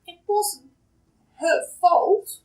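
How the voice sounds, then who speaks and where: Woman voicing a high, gentle little girl. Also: extremely slow, far from the mic, soft background music, exaggerated voice. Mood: gentle, reassuring. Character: soft-hearted little girl, gently defending her cat. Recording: roomy echo, away from the mic.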